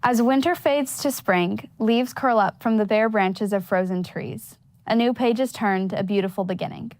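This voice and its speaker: A woman's voice: fairly high pitch, moderate pace, darkish tone, no room sound. Woman reciting poetry, very dry sound. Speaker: student poet.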